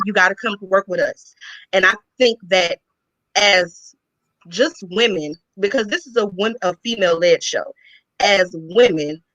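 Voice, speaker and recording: A woman calling in to make an earnest, emphatic case. Her voice is bright and tense. It comes through as a dry, dull, lo-fi call. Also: mid-range voice, dry recording. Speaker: guest commentator. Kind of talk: impassioned commentary.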